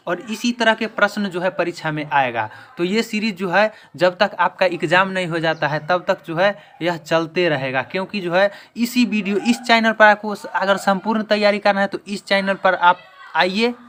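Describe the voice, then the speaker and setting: Guy doing exam quiz lesson, highish sharp voice, repeats stuff a lot, some noise behind him. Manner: repetitive, emphatic restating of key points. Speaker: exam-prep teacher and video channel host. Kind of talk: quiz lesson, explaining question and answer. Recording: clear background noise.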